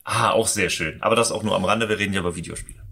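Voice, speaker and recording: A man's voice: low voice, very fast, vocal fry, pushed and bright. A man co-hosting a podcast, chatting casually and enthusiastically in colloquial speech. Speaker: podcast co-host. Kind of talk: casual chat about games and comics.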